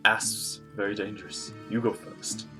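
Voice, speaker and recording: A man's voice: on the low side, flat delivery, unhurried. A man streaming a video game, giving casual live reactions as he plays. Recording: loud game music behind.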